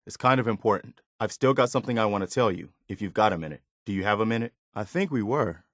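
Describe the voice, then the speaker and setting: Loyal tired militia soldier from a video game, kinda low voice, earnest and folksy, real dry sound. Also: male voice, animated, fast, fairly bright tone, dry recording. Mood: sincere, grateful. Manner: earnest, plain-spoken, folksy. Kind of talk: dramatic video game dialogue.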